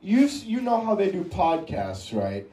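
Mellow teenage co-host, distant and roomy. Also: male voice, high-pitched, measured pace. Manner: teasing, deadpan jokes. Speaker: podcast co-host. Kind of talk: casual banter. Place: room at an old school campus. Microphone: phone recording from across the room. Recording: roomy, distant phone recording.

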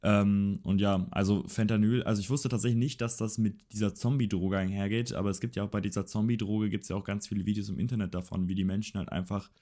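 A man's voice: lowish voice, steady tone, fast, hardly any pauses, dark tone. A young man co-hosting a casual political chat podcast, rambling and correcting himself as he goes. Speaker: podcast co-host.

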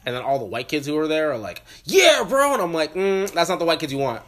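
Fast-talking congested male podcast host. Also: fairly high pitch, huge pitch swings, a bit bright. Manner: fast, joking, profane banter. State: congested and sniffly. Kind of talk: casual podcast chat.

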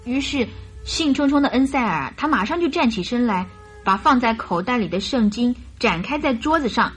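A woman's voice: on the high side, lively intonation, normal speed, faint music behind, natural voice. An actress playing an earnest, naive young bride-to-be in a radio drama, recounting her story in a hesitant, chatty way.

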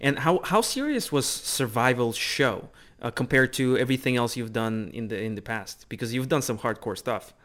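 Guy doing the interview, smooth clear voice, chatty, tells his own stories. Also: medium pitch, expressive, a bit bright. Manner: engaged, shares own stories, quick affirmations. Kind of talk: casual interview conversation.

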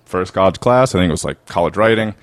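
A man with a Baltimore, Maryland accent, telling rambling, animated stories as a guest on a comedy podcast. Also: sits low, quick pace, no room sound. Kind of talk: casual storytelling.

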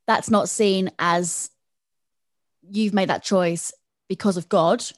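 A woman's voice: medium pitch, steady tone, slow pace, no echo, studio quality. A woman interviewing a guest, asking probing questions and listening attentively. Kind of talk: interview conversation.

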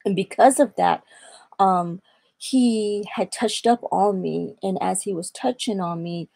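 A young woman from Atlanta, Georgia, giving an earnest personal testimony in a faith interview, quoting scripture and pausing on fillers. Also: mid-range voice, vocal fry, warm tone, no echo. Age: young adult. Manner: earnest, preaching tone with scripture quotes. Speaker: guest.

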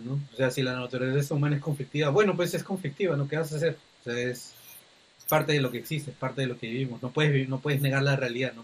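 A male podcast host talks in a relaxed, conversational way, often tagging his sentences with a questioning "¿no?". He pads his speech with fillers like "este". The sound comes from a video call. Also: mid-range voice, slightly rough, poor audio. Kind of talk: casual podcast interview.

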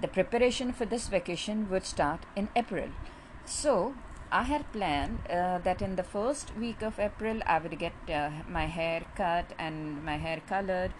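A woman's voice: lowish voice, a little slow, a little hiss or hum, poor audio. A middle-aged woman doctor with an Indian English accent hosts a casual podcast, speaking chattily with many filler sounds.

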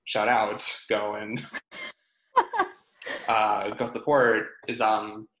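A young man with a stutter chats on a podcast, catching on sounds and repeating words as he talks. His voice sits a little low, and the room around him gives it a noticeable echo. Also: very slow, a bit bright. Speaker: podcast co-host. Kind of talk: casual chat. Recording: roomy echo.

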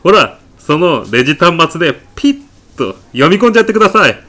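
A man's voice: fairly high pitch, sing-song, a little fast, pushed and bright. A man playing a pushy, eccentric, absurdly self-assured supermarket customer in a comedy radio drama, arguing like an insistent salesman. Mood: cajoling, insistent. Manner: insistent, indignant salesman-like patter. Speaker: podcast actor.

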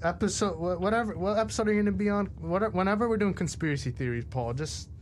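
A man's voice: sits high, quick pace. An eighteen-year-old man from New Jersey co-hosting a show in casual, joking chat. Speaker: co-host. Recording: faint background noise, room ambience.

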